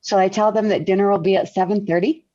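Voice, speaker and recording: An actress playing a calm, wry mother and wife, soothing and gently firm, in 1940s radio sitcom style. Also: medium pitch, fast talker, natural voice. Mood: calmly persuasive. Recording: muffled old 1940s radio recording.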